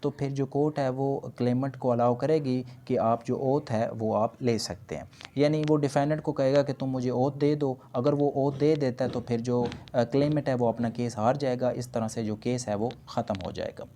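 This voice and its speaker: A man's voice: mid-range voice, fairly even intonation, normal speed, warm tone, slight background noise. A man with a Pakistani accent lecturing didactically, reading out points and then explaining them with repetition, switching between two languages. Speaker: law lecturer.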